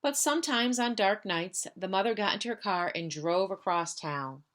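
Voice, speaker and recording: A woman's voice: medium pitch, normal speed, fairly bright tone, slight background noise. A woman reading a picture book aloud to young pupils as a member of school staff, lingering on repeated phrases. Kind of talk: children's storybook read-aloud.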